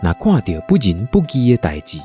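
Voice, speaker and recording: Male storyteller, Taiwanese accent, for audio drama narration: lowish, husky, lively intonation over faint music. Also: brisk.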